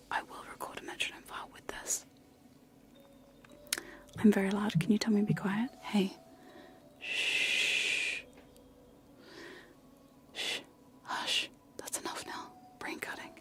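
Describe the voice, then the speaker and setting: A young woman talks almost entirely in a soft, breathy whisper right up against close microphones. Her pitch sits in the middle range for a woman. She chats casually and giggles, often poking fun at herself as she sets up gentle ASMR trigger sounds. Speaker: ASMR streamer. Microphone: close sensitive microphones.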